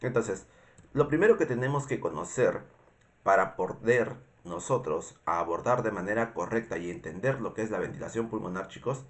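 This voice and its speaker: A man's voice: on the low side, lively intonation, normal speed. Congested Peruvian man teaching. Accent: Peruvian Spanish. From Peru. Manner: didactic, repetitive, frequent checking questions. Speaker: medical instructor. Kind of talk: informal explanatory lecture.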